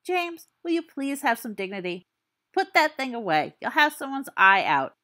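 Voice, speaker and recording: A woman's voice: high voice, very animated, moderate pace, bright, forceful tone, pitched above the performer's own voice, exaggerated voice. A woman voicing a prim, reasonable teenage boy who corrects his friends. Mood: exasperated.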